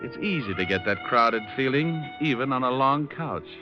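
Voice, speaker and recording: An actor playing a wisecracking, unflappable tough private detective with a wry, teasing manner, in mid-century radio drama style. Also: male voice, mid-range voice, lively intonation, moderate pace, close to an everyday voice. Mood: hardboiled narrating. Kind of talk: 1940s-50s radio detective acting and narration. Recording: muffled old radio recording, music behind.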